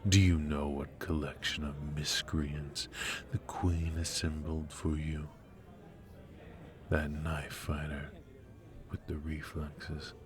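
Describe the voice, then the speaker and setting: A man playing a silky, mocking dark warlord in an intimate ASMR roleplay, with theatrical, taunting, drawn-out delivery. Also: very deep voice, level tone, over music. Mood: mocking, scornful. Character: dark male warlord villain, silky, mocking, menacing dream invader. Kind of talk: ASMR roleplay, intimate villain monologue addressed to the listener.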